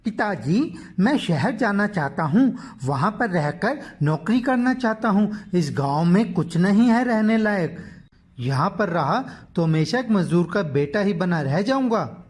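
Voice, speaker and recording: Man acting restless, high-pitched young village son. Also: expressive, unhurried, a little mellow, slightly rough, full character voice. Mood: earnest, insistent. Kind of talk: dramatised moral story dialogue.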